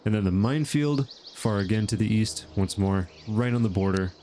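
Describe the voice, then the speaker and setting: A man's voice: lowish voice, moderate pace, dark tone, a little hiss or hum. Chatty man with a stuffy nose. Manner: chatty, thinking aloud with fillers. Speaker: gaming channel host. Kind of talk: casual gameplay commentary.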